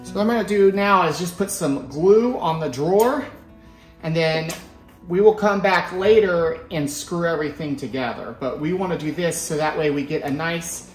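A man's voice: on the high side, moderate pace, soft background music. A man in his thirties giving casual step-by-step instruction as a tutorial host, recorded indoors in a workshop. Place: indoor woodworking shop. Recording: roomy echoing workshop sound.

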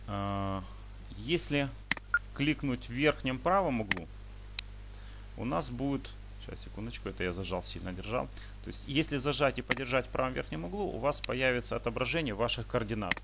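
A man giving an unscripted software tutorial, explaining step by step in a halting way over a screen recording. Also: mid-range voice. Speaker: instructor demonstrating paragliding flight software. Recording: clearly noisy home screencast with clicks.

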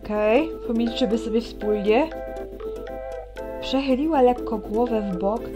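A woman's voice: a little high, unhurried, over music. A woman reading a visual novel aloud expressively, with wry, teasing asides.

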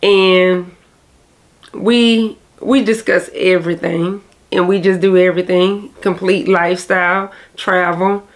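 A woman's voice: lowish voice, steady tone, takes it slowly. Chatty nasal woman vlogger. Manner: rambling, chatty, self-correcting. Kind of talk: casual vlog chat to camera.

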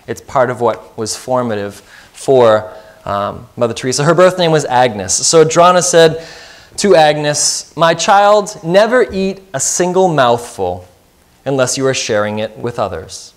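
A man's voice: mid-range voice, expressive, unhurried. A man in his thirties preaching a sermon as pastor, earnest and putting questions to the congregation, recorded in a church sanctuary.

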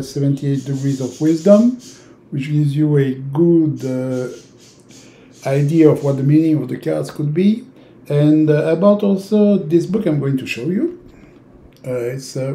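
A man in his sixties from Europe, a native French speaker with a French accent, giving an informal, halting instructional talk with long hesitations. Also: a little high, warm tone. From francophone Europe. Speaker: tarot teacher. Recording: roomy, echoing indoor sound.

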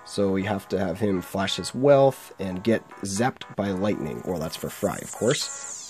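A young man with a sore throat chatting casually through a game, reading its lines aloud. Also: fairly low pitch. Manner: chatty, laughs while reading. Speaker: game let's play host. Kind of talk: casual gameplay commentary, reading dialogue aloud. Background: faint game music.

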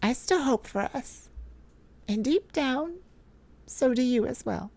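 A woman playing a tender, heartbroken but resolute mage in an emotional audio roleplay, speaking intimately and haltingly with a pleading manner. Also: high-pitched, animated, gravelly, darkish tone, no room sound, heavily acted. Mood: sad, insistent.

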